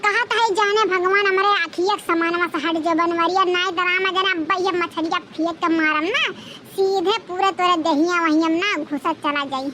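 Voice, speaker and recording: A woman's voice: extremely high pitch, noisy background. A woman voicing a hot-tempered village wife with an Awadhi accent in a comedy cartoon, ranting and threatening her husband. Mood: angry, threatening.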